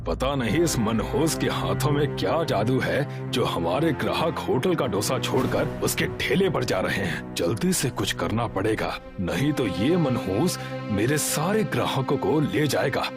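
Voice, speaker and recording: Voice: medium pitch, big pitch swings, measured pace. A performer playing a jealous, scheming male hotel owner, the villain of a cartoon moral story. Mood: resentful, scheming. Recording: loud music behind the voice.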